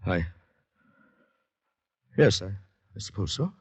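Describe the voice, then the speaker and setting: A man's voice: deep voice, lively intonation, a little mellow, husky, dry recording, exaggerated voice. Haunted, shaken man, muffled old radio tone. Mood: anxious. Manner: halting, dramatic first-person narration. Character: distraught man haunted by finding a murdered woman. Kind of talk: 1940s radio suspense acting. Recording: 1940s radio broadcast, faint music behind.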